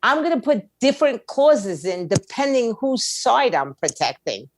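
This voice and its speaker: A woman's voice: fairly high pitch, very animated, measured pace. A woman speaking as a guest expert in an interview, explaining things in a plain-spoken, emphatic, conversational way. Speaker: guest, music attorney and indie label owner.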